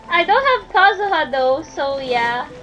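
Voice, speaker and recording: A woman gives casual live commentary while playing a game. Her voice is high and swings widely in pitch, with short murmured reactions that trail off. Game music is clearly audible behind her. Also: very animated, takes it slowly, fairly bright tone, muffled recording. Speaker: livestreamer playing a video game.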